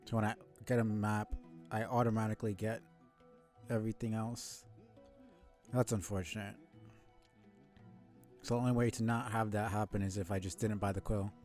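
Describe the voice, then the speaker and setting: Low, mellow man streaming a game. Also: little pitch movement, normal speed, pauses often, faint music behind. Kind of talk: casual live gameplay commentary.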